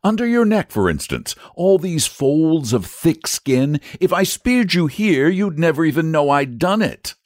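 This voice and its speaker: A man's voice: a little high, very animated, a little slow, hardly any pauses, no room sound, clean studio sound, voice pushed up. A man voicing an earnest, patient, confident storybook knight who reasons in an eager, persuasive way. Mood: patient, reasoning. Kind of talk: storybook character voice.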